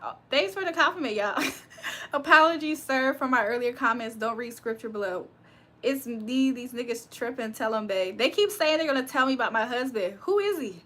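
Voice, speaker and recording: A woman's voice: fairly high pitch, animated, normal speed, sharp tone. A young woman from Virginia hosting a livestream, reading comments aloud and reacting with frequent laughs. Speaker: livestream host reading chat. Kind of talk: casual live chat.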